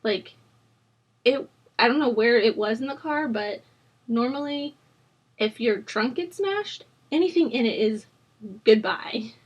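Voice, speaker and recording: A young woman in her twenties, hoarse from a sore throat, chatting casually and rambling with plenty of ums and likes while she narrates a building game. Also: fairly high pitch, lively intonation, unhurried, long pauses, lo-fi sound. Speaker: Sims building channel host. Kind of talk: casual voice-over chat during a speed build.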